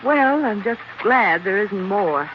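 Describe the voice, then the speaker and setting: A woman's voice: mid-range voice, animated, slow pace. An actress playing a plucky, wry woman companion to a crime-fighter in a 1940s radio drama. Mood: wry, relieved. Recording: muffled, hissy 1940s radio recording.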